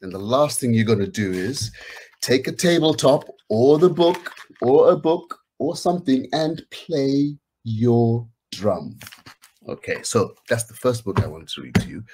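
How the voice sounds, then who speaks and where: A man's voice: mid-range voice, expressive, measured pace, a little mellow, a little hiss or hum. A middle-aged man reading picture books aloud to children, chatting casually and encouraging them to join in. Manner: encouraging, inviting listeners to join in. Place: indoors, small home room.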